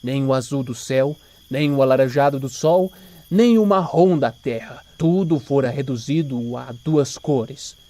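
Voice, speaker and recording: A man's voice: medium pitch, measured pace, mellow. A man with a Brazilian accent reading scripted, dramatic story narration for an audio drama.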